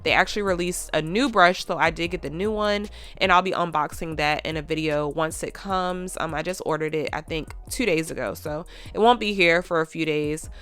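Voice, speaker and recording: A woman's voice: sits low, moderate pace, few pauses, a bit bright, clear, resonant tone. A woman with a stuffy, congested voice, chatting casually to viewers as a nail tech vlogger, with lots of fillers.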